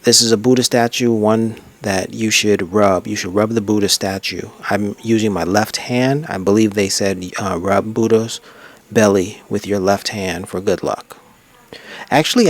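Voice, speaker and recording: A man narrating his own travel photos in a casual, unscripted way, rambling and reflective as he reminisces. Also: fairly low pitch. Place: untreated room at a desk.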